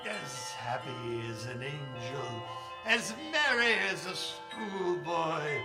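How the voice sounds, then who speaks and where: A man playing an old miser in a theatrical staged audio drama, with a halting, stammering, melodramatic delivery. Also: on the high side. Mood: giddy joy. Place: live stage in a library hall. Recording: echoey hall, music behind.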